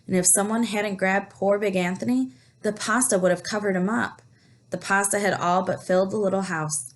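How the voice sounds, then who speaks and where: A woman teacher reads a children's picture book aloud with a medium-pitched voice. Her delivery is expressive and animated, and she pauses to explain words and ask her young listeners questions. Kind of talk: expressive read-aloud storytelling.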